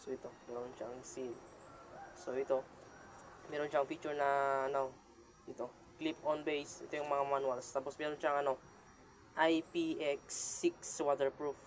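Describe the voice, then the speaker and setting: A man with a Filipino accent giving a casual product demonstration as a vlogger, halting as he goes and slipping between his own language and English phrases. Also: a little high, little pitch movement. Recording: clearly noisy amateur vlog recording.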